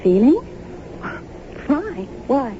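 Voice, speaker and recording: A woman's voice: high voice, sing-song, extremely slow, dark tone, heavily acted. A woman acting in a radio drama as an anxious, bewildered young wife, speaking hesitantly and uneasily. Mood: nervous. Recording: tinny, narrow-band, noisy old radio broadcast.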